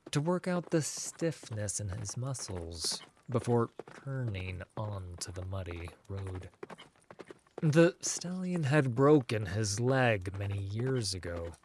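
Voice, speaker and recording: A man narrating a scripted fantasy audiobook in a measured, dramatic storytelling manner. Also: fairly low pitch, slight background noise.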